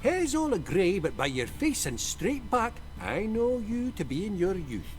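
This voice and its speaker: An actor playing a chatty, kindly old village herbalist in a video game, with a folksy sing-song delivery. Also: male voice, high voice, big pitch swings, a little slow, faint music behind. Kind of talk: video game character acting.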